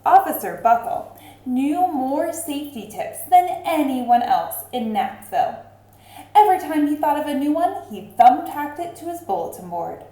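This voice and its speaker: Lady teacher reading a picture book to kids, soft mellow tone, really up and down, roomy echo. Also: sits high, sing-song, moderate pace. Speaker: teacher reading a storybook aloud. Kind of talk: expressive read-aloud for children. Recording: roomy, echoing sound.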